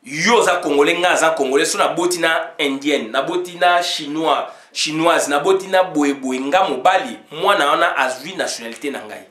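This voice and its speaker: A man's voice: on the high side, moderate pace, few pauses, bright, forceful tone, reverberant. A man giving an informal political monologue as a commentator, switching between two languages, with many fillers, sometimes reading legal text aloud.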